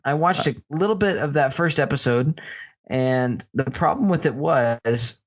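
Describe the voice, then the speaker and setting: A man's voice: on the high side, a little slow. An American man speaking as a panelist on a comedic talk show, in casual and opinionated banter.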